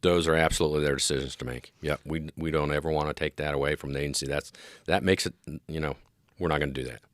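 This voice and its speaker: A man's voice: a bass voice, fairly even intonation, fast talker, no echo, studio quality. A middle-aged American man explaining his field as an interview guest, earnest and emphatic in agreement. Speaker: interview guest, police dispatch specialist and former officer.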